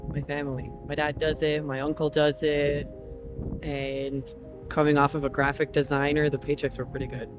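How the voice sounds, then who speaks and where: A man from Croatia who drives trucks, answering interview questions earnestly with frequent pauses. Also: a little high, completely flat delivery, over music.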